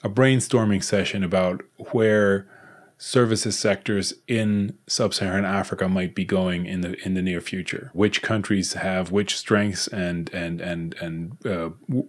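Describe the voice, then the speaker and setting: A man hosting an interview podcast, speaking in a measured, articulate way as he introduces his guest and asks questions. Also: fairly low pitch. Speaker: podcast host, consultant.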